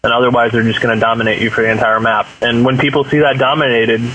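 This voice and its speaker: A man's voice: medium pitch, level tone, quick pace, fairly bright tone, low-quality recording. Casual man on podcast, slight noise. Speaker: podcast guest. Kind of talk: casual gaming podcast chat.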